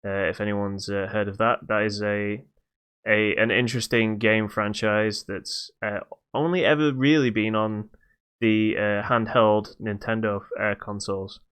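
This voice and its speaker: A middle-aged man co-hosting a casual podcast, talking in a halting, hesitant way. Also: fairly low pitch, level tone, fairly bright tone, clear, resonant tone. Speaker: podcast co-host.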